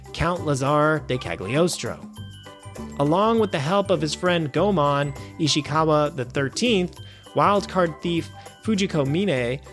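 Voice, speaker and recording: A man hosting a film review show, reading a scripted review with wry, animated asides. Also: fairly high pitch. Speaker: film review podcast host.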